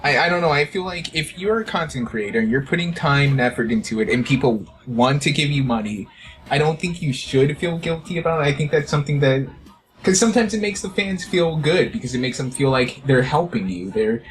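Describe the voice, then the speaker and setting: A man's voice: a little high, lively intonation, fairly quick. Man chatting casually over background noise. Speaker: podcast co-host. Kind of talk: casual podcast chat.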